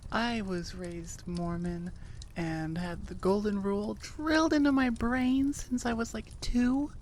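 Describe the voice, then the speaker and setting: A woman's voice: medium pitch, unhurried, darkish tone, a little hiss or hum. Casual, rambling woman creator. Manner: rambling, informal, self-effacing. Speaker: audio roleplay creator. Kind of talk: casual, chatty aside to listeners.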